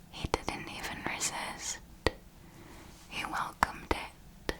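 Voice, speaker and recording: Lady doing a predatory vampire mentor for asmr, seductive breathy whisper, super slow. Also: lightly acted, low-quality recording. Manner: slow, lingering, deliberate phrasing. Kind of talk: whispered ASMR roleplay storytelling.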